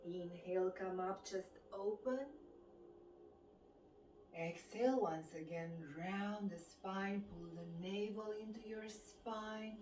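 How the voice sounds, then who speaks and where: A woman from Romania with a Romanian accent, a fitness instructor guiding a qigong class with calm cues timed to the breath. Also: medium pitch, slow, a little hiss or hum. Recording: roomy sound from a distant mic.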